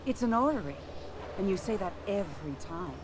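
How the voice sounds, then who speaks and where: A dry, mildly exasperated townswoman, played by a woman in a video game, lively in pitch. She is heard from a distance through clear background noise. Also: fairly quick, close to an everyday voice.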